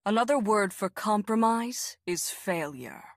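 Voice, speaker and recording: A woman playing a proud, confident lightning sorceress in a video game, with a husky, breathy, measured delivery. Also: medium pitch, lively intonation, very noisy. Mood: proud, calm. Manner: measured, self-assured, aphoristic. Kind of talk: video game character voice lines.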